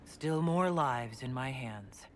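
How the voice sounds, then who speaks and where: Game casting: earnest commander, mid-range voice, direct and composed. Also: male voice, mid-range voice, expressive, slow, fairly bright tone, slight background noise. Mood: resolved. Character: composed, earnest male leader of a fantasy order. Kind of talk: dramatic video game cutscene acting.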